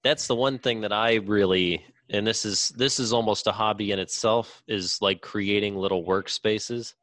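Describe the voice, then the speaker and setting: A man's voice: fairly low pitch, fairly even intonation, normal speed, a bit bright, no room sound. A man chatting casually with friends as a podcast co-host, in an informal, sweary way. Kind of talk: casual chat among friends.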